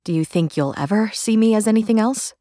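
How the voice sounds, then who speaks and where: A stubborn young sister from a fantasy audiobook acted by a woman speaks in a fairly low voice. She is earnest, wistful and challenging, recorded dry and close. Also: animated, unhurried, darkish tone, no room sound. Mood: wistful hurt.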